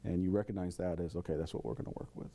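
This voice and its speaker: A man's voice: deep voice, steady tone, rapid-fire, gravelly, warm tone, slight background noise. A man who works in veterans' outreach, giving unscripted interview answers.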